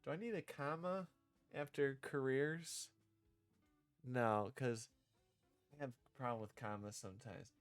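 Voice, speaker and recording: Male game-dev streamer, unhurried and halting, thinking aloud; dry close recording, soft guitar music underneath. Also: mid-range voice, no echo. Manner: halting, thinking out loud, repeating and rewording lines. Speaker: streamer developing own visual novel. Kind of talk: casual livestream, reading and drafting script aloud.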